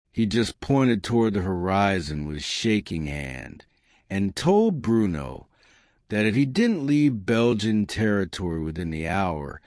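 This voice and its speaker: A man narrating a scripted comic story with dramatic, deadpan delivery and deliberate pauses. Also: lowish voice, no room sound, studio quality.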